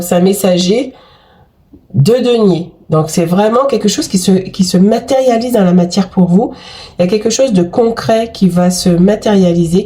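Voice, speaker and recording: Warm-voiced woman doing an effusive card reading. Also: medium pitch. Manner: chatty and effusive, frequent exclamations and fillers. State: has a cold. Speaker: tarot and oracle card reader. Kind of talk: casual spoken card reading to viewers.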